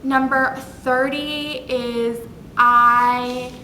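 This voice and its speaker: A woman's voice: high voice, steady tone, extremely slow, pushed and bright. A woman in her twenties chatting casually on a vlog, bubbly, with lots of fillers and self-corrections. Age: 20s. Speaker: vlogger. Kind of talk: casual chat listing facts. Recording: echoey room with background noise.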